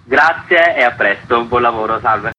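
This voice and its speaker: A man's voice: medium pitch, expressive, moderate pace, pushed and bright, a little hiss or hum, poor audio. A man giving an explanatory radio interview as a festival's co-artistic director.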